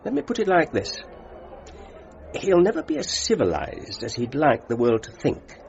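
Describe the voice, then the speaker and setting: Urbane upper-class English diplomat, swooping intonation. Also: male voice, fairly high pitch, wildly swooping pitch, normal speed, gravelly, muffled recording, a little hiss or hum, exaggerated voice. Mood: grave, frank. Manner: polite, hesitant, understated. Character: genteel, urbane British ambassador, wry and frank. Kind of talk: radio drama acting.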